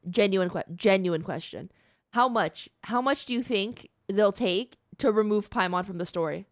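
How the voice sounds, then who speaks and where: A woman's voice: medium pitch, normal speed. A woman game streamer giving casual, reactive live commentary, informal and repeating phrases for emphasis.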